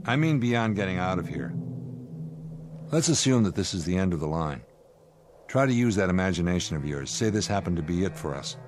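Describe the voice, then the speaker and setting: An American man playing a stern, steady spaceship commander in a radio drama, with an authoritative, clipped, lecturing manner. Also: sits low, fairly quick, background noise. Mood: probing. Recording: dramatic music behind the voice.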